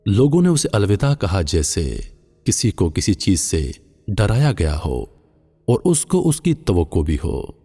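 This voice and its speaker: Deep, solemn male storyteller in long reverb. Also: very animated, dark tone, breathy edge. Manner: solemn, deliberate. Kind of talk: scripted religious moral-story narration. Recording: long reverb, music underneath. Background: music.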